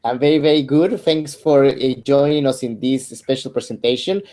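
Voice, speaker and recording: Male webinar host, mellow timbre, hesitant delivery. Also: medium pitch, normal speed. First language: Spanish. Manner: hesitant, many fillers and restarts. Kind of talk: hosting an online info session.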